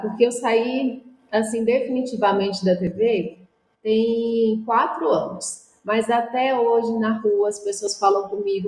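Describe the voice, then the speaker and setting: A woman's voice: on the high side, a little mellow. A young woman, a former TV reporter, answering interview questions in a reflective, conversational way. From Luziânia, Goiás, Brazil. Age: young adult. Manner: reflective, with frequent "e aí" and "assim". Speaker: guest, former TV reporter, communications business owner. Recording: echoey room.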